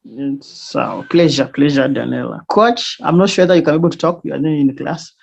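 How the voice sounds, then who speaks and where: Man, gravelly voice, hesitant, dull call audio. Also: mid-range voice, normal speed, darkish tone. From Kenya. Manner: hesitant, many fillers and restarts. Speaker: student organizer. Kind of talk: online meeting introductions. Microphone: video call.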